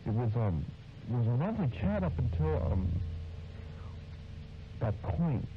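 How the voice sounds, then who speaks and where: A man playing a troubled witness in a mock documentary interview, speaking haltingly and repeating his words. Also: medium pitch, big pitch swings, slight background noise. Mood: confiding, uneasy. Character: troubled male witness interviewed about eerie events. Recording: dull lo-fi interview tape under music.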